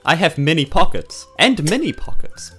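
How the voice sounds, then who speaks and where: A woman with a New Zealand accent playing a tabletop game, speaking in an unhurried, whimsical way. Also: deep voice, big pitch swings, over music. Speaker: player. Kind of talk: casual tabletop banter.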